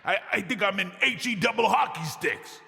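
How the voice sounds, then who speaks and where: A man voicing a brash, bickering comic tough guy, loud and indignant. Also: high voice, animated, moderate pace, exaggerated voice. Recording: reverberant, music behind.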